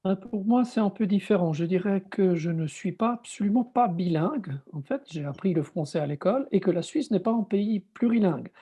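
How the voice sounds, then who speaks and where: A man's voice: high voice, lively intonation, fairly quick, few pauses, dark tone, breathy edge. A middle-aged man from Switzerland whose first language is Italian, reflecting in an interview and repeating words as he searches for them. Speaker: guest writer. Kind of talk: conversational interview answer.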